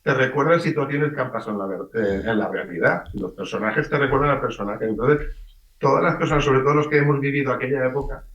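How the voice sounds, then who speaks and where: Middle-aged Valencian-man, a novelist on radio, mid-pitched, lively teller of anecdotes, heard back in a roomy space. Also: quick pace, far from the mic, lo-fi sound. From Valencia region, Spain. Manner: lively storyteller, acts out voices in quotes. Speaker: writer being interviewed. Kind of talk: casual radio interview. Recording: roomy, off-mic radio sound.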